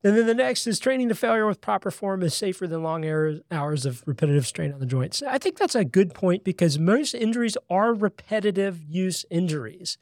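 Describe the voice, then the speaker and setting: A man's voice: high-pitched, expressive, brisk, few pauses, darkish tone, dry recording, studio quality. A man in his thirties co-hosting a casual fitness podcast, explaining points from his list one by one. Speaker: podcast co-host.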